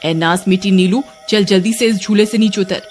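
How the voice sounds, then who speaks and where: A woman's voice: medium pitch, moderate pace, darkish tone, faint music behind, close to an everyday voice. A woman voicing a scolding, exasperated village woman in a cartoon, nagging and exclaiming. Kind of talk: melodramatic cartoon dialogue.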